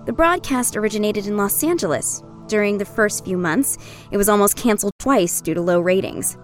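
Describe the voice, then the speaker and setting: An actress playing a reflective young woman, narrating a scripted documentary in a measured way. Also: mid-range voice, natural voice. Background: music.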